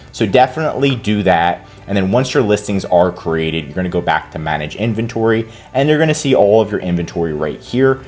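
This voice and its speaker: A man hosting a tutorial and giving casual step-by-step instructions as a consultant. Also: low-pitched. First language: English. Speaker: consultant and channel host. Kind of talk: screen-recorded tutorial walkthrough.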